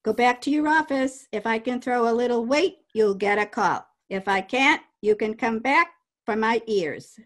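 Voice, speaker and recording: A woman's voice: a little high, moderate pace, a bit bright, lightly acted. A woman playing a tough, cagey juke joint owner in old-time radio crime drama, speaking defiant gangland slang. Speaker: amateur radio play actor. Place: at home, recorded over video call.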